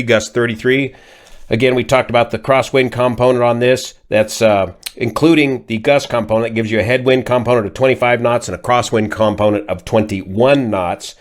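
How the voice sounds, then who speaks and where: An American man, a pilot from California, giving an informal analysis in a measured, methodical, technical way. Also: medium pitch, a bit bright. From California, United States. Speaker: pilot and aviation commentator. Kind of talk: informal explanatory accident analysis.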